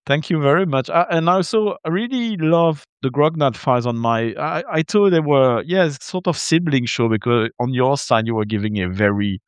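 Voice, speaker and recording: A man's voice: a little high, moderate pace, few pauses, clean tone, no echo. A man from Belgium, a native French speaker with a French Belgian accent, talking as a podcast guest over a video call in a chatty, hesitant way. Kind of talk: interview conversation.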